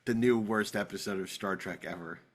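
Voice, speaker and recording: A man hosting a podcast in casual discussion, telling rambling anecdotes with halting, repeated words. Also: fairly low pitch. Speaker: podcast host. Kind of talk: casual panel discussion of classic TV.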